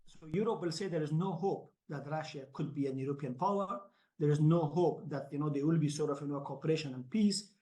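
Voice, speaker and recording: A man with a fairly high voice speaks as a guest in a panel discussion. He talks spontaneously and haltingly, with "you know" as a filler. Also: mellow.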